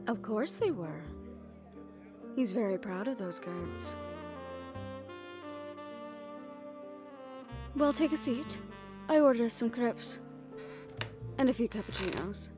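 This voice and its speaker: A woman playing a poised, worldly hotel manager in an assassin underworld audio roleplay, speaking in a composed, gracious and knowing manner. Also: a little high. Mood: warm, teasing. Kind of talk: roleplay audio drama acting.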